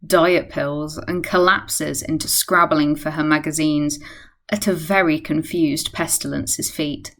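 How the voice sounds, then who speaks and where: A woman's voice: sits low, steady tone, unhurried, a bit bright. A woman narrating a scripted radio play.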